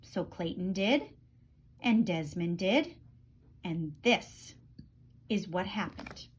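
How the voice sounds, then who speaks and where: A woman reading a children's storybook aloud in an expressive storytelling style, letting phrase endings drop softly. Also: lowish voice, slow, a little hiss or hum.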